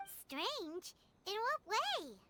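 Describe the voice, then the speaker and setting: A woman voicing a tiny, childlike fairy companion in a video game, blunt and curious, with a squeaky voice. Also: huge pitch swings, drawn-out words, sharp tone, slight background noise, full character voice.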